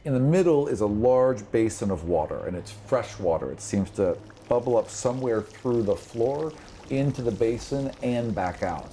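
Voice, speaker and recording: A man's voice: low voice, warm tone, slight background noise. A man working as game master, narrating a tabletop scene off the cuff in a conversational, descriptive way with rambling asides.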